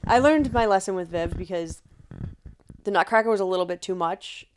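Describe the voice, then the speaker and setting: A woman co-hosting a podcast, chatty and quick to laugh along with her guests. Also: medium pitch, a little hiss or hum. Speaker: podcast co-host. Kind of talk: casual chat.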